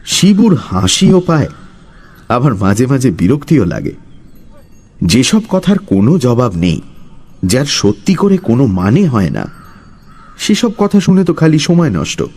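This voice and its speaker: Husky, lively male storyteller. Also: mid-range voice, a little slow, long pauses. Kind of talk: dramatic scripted story narration.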